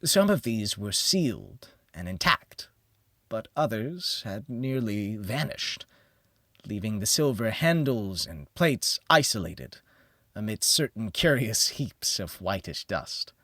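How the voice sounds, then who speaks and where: A man reads gothic horror as an audiobook narrator. His delivery is slow and solemn, with theatrical pauses and a pitch that rises and falls widely. The recording is close and dry. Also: very animated, a bit bright, no room sound.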